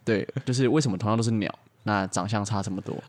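Male co-host, lowish voice, playful ad-libbed storytelling and banter. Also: quick pace. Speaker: podcast co-host improvising a story.

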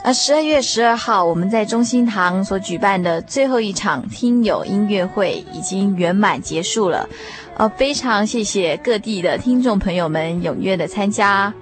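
A woman's voice: mid-range voice, expressive, moderate pace. Taiwanese Mandarin woman radio host over music. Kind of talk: conversational radio hosting addressed to listeners. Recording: music bed under studio voice. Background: background music.

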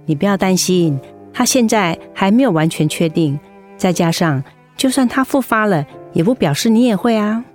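An actress as a very expressive, sympathetic woman. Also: mid-range voice, normal speed, soft background music, natural voice. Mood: concerned. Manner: expressive, caring. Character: sympathetic, attentive woman, an interviewer and fellow patient. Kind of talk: radio drama acting.

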